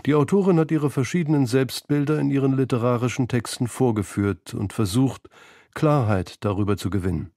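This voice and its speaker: A man reading scripted documentary narration for a radio feature in a measured, sober, literate manner. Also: mid-range voice, dark tone, dry recording, clean studio sound.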